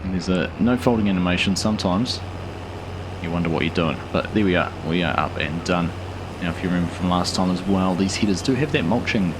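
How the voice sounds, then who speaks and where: Hoarse, deep-voiced man. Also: brisk, noisy background. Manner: relaxed, rambling with frequent fillers. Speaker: gaming channel host. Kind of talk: casual gameplay commentary.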